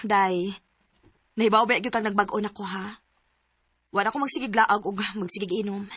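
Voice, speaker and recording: A woman's voice: medium pitch, steady tone, moderate pace, a bit bright, husky, dry recording. An actress playing an anxious young woman in a strained romance, with an emotive, pleading delivery and a Cebuano Filipino accent, in a radio drama. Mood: troubled.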